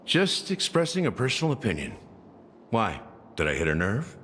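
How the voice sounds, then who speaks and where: A scruffy, hard-drinking security officer from a video game, sardonic and defiant. His theatrical drawl swings widely in pitch and mocks as it goes. The voice rings through a long hall reverb with faint noise behind it. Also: wildly swooping pitch, normal speed, a bit bright. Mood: dry, needling. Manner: mocking, dramatic, drawling defiance.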